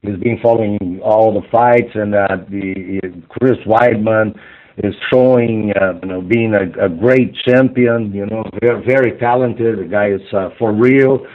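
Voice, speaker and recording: A man interpreting live on a phone conference call, speaking in halting, repetitive phrases padded with fillers. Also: lowish voice, fairly even intonation. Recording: muffled narrow-band phone line.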